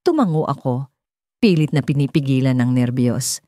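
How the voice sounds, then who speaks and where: Low, mellow woman narrating a story. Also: expressive, moderate pace, dry recording. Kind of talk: scripted first-person romantic story narration.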